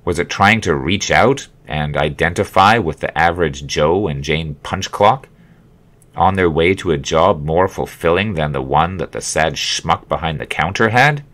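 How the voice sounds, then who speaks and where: A man narrating his own memoir as an audiobook, with expressive, wry storytelling. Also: very deep voice, moderate pace. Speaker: author reading own memoir.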